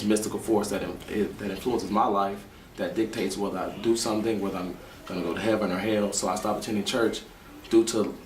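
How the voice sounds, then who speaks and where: A man in his twenties giving long, earnest interview answers. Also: lowish voice. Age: 20s. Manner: earnest, explaining at length. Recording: roomy echo from a distance with faint noise.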